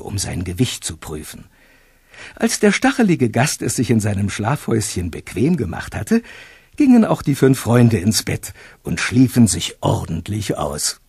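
A calm male narrator of a children's radio play. His voice is very husky and moves through a wide melody. It is recorded dry and close. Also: mid-range voice, dry recording, exaggerated voice. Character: calm adult male storyteller. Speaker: narrator of a children's audio drama. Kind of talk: scripted storybook narration.

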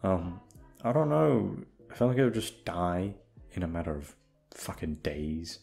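A man's voice: medium pitch, vocal fry, warm tone, slight background noise. A young man chatting casually to his listeners in a rambling, hesitant way, swearing freely and putting himself down as he repeats himself. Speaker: audio roleplay voice actor.

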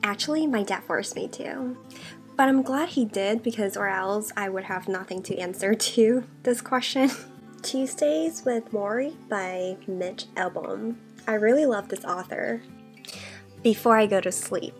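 A woman in her twenties from Taiwan, whose first language is Mandarin Chinese, answering questions about herself in a soft-spoken vlog. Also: mid-range voice, measured pace, soft background music. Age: 20s. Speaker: vlogger. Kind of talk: get-to-know-me Q&A vlog. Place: bedroom with shelves, indoors. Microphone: desk condenser mic in front.

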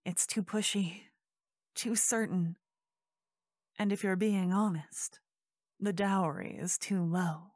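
Woman telling a fantasy asmr story, takes her time, hushed n suspenseful, really dry close sound. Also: mid-range voice, steady tone, takes it slowly, long pauses, dry recording. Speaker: narrator. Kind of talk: second-person scripted fantasy narration, ASMR.

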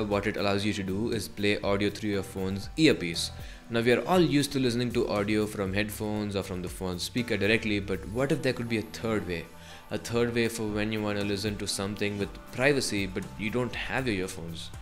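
A man with a fairly low voice narrates a scripted tech review. He speaks as a video presenter, with light music playing behind him. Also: brisk. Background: background music.

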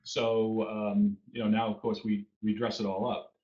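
Fiftyish Boston man, lowish voice, rambling guest, roomy muffled webcam audio. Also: little pitch movement, normal speed, a little mellow. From Boston area. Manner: rambling with fillers and restarts. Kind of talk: interview answers. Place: home room. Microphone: video call webcam.

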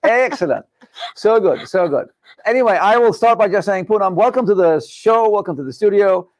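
Man, middle-aged, studio interview host, upbeat, chatty, bit high voice, dry sound. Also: expressive, moderate pace, no echo. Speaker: podcast host. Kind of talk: live studio interview show. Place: studio set with dark backdrop.

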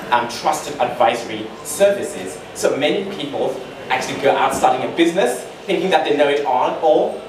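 Young man from Zimbabwe, earnest storyteller, distant echoey hall recording, audible noise. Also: mid-range voice. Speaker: lawyer pitching to a startup meetup. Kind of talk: live stage talk with handheld mic. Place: large dark event hall, on stage. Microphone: handheld mic through PA, filmed from far.

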